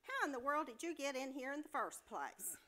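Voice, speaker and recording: A woman's voice: high-pitched, sing-song, a little fast, bright, forceful tone, full character voice. A woman playing a bossy, scornful hospital nurse in a staged comedy reading, sarcastic and dismissive.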